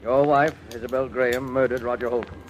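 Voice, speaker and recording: An actor playing an official police investigator in an old-time radio drama, with a formal, businesslike questioning manner. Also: male voice, mid-range voice, steady tone, fairly quick, natural voice, low-quality recording. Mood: solemn, accusing. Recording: vintage radio drama, music underneath.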